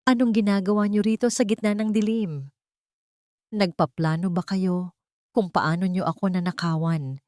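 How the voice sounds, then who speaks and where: A woman's voice: mid-range voice, moderate pace, pauses often, a little mellow, dry recording, studio quality, natural voice. A woman voicing a cruel, scheming younger woman in an audio story, harsh, contemptuous and commanding. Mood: suspicious, hostile. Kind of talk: storybook villain dialogue.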